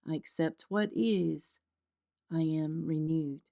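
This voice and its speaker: Slow, calm woman guiding a meditation. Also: deep voice, gravelly. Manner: calm, measured, soothing. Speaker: meditation guide. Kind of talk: guided meditation narration, scripted. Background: instrumental music.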